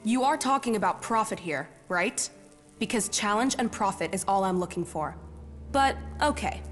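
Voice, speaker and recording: A woman's voice: mid-range voice, lively intonation, moderate pace, natural voice. Brash female mercenary in heavy reverb voiced by an actress. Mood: cocky, blunt. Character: brash, cynical female mercenary hungry for challenge. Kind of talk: video game character dialogue. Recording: long reverb, music underneath.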